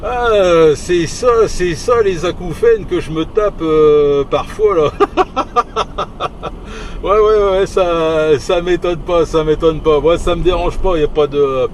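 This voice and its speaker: A man's voice: a little high, takes it slowly. A man chatting casually over radio as he drives, hesitant and rambling, often repeating himself. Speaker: amateur CB radio operator. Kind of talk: casual radio chat while driving. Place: inside a vehicle on the road. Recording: noisy moving vehicle, engine and road noise.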